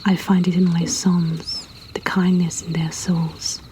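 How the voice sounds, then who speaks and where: A woman softly whispering a poetry reading as an intimate storyteller host, breathy and deliberate with long pauses. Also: on the low side, little pitch movement, a little hiss or hum.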